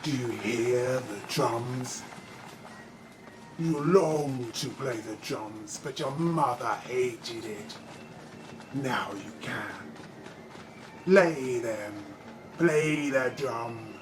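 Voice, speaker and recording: Slow, commanding evil spirit. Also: male voice, mid-range voice, background noise. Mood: hypnotic, coaxing. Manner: menacing, coaxing, imperious. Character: commanding evil ancient spirit possessing a cursed drum. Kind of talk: audio drama acting.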